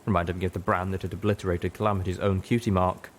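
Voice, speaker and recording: A man's voice: sits low, little pitch movement, fast, slight background noise. A man reading a scripted first-person story aloud as an audiobook narrator. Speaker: audiobook reader.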